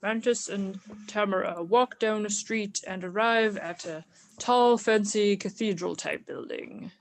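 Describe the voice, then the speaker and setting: A woman narrating a group comic reading, describing scenes live in a halting way. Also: mid-range voice.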